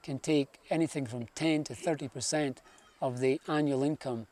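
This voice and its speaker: A man's voice: mid-range voice, steady tone, normal speed, a little mellow. A man, an electrical engineer, explaining things plainly in an interview, with a few restarts.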